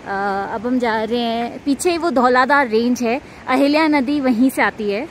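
Young indian woman vlogging outdoors on her phone, kinda high voice, noisy background. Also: a little slow. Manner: chatty, mixing English words into Hindi. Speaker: travel vlogger. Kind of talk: casual travel vlog narration. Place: outdoors in the mountains and markets. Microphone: handheld phone camera at arm's length.

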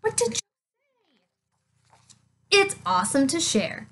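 A woman in her thirties reading a picture book aloud to children, with expressive, deliberate, emphatic phrasing. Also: extremely high pitch. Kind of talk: children's read-aloud storytelling.

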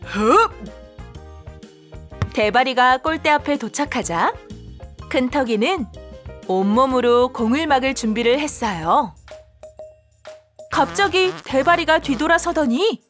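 A woman narrating a scripted storybook for young children in an animated, expressive manner. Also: high-pitched, measured pace, long pauses. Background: children's background music.

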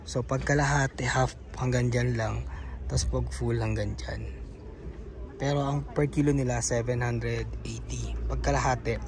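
Lalaking vlogger, kaswal at mausisa, naglilibot sa bukid sa labas na may ingay sa paligid. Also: male voice, mid-range voice, steady tone, unhurried, a little mellow. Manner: casual, curious, asks staff questions. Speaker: travel vlogger. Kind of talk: walking tour commentary. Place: outdoor strawberry farm. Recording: outdoor noise behind.

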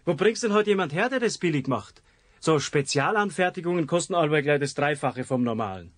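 A stubborn Bavarian tradesman acted by a man grumbles as the husband in a children's audio play. His pitch is a bit high and the sound is dry. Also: animated, fairly quick, no echo, natural voice. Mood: grumbling. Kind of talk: children's radio play acting.

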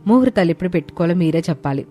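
Woman playing middle-aged mother-in-law, lowered voice, gracious, approving, cartoon story, music behind. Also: lively intonation, very fast, mellow, lightly acted. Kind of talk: animated moral story character voice. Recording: background music under the voice.

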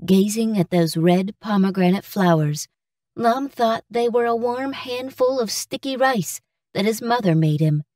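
A woman's voice: medium pitch, measured pace, a little mellow, dry recording, studio quality, recorded from a distance, lightly acted. A woman reading scripted fairy-tale narration as a storyteller.

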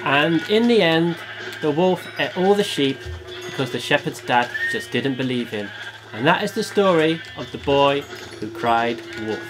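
A man's voice: fairly high pitch, animated, unhurried, low-quality recording. A man narrating a playful fairy tale over a game. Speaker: narrator and gaming channel host. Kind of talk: casual storytelling over a game. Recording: game music in background.

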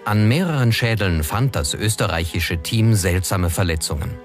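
A man's voice: fairly low pitch, expressive, normal speed. A man reading scripted documentary narration. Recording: music bed under the voice. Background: background music.

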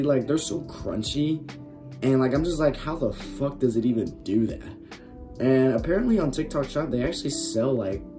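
A young man with a raspy, worn-out voice, chatting casually as host of a reaction video, filling his talk with "like" and laughing often. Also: on the low side. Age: young adult. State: raspy, losing his voice from shouting. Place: small bedroom with LED lights.

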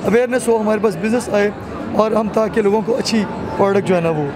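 High-pitched Pakistani man, crowded noisy hall. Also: brisk, a crowd in the background. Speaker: company representative. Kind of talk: business interview answers. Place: busy indoor expo hall. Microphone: handheld reporter microphone.

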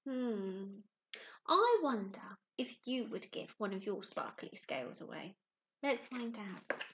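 A young woman, a primary teacher doing story time for small children with expressive, simple phrasing and questions. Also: mid-range voice, slow pace, highs cut off, slight background noise. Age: young adult. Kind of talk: children's story time, talking to young pupils and reading aloud. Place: small room at home, indoors.

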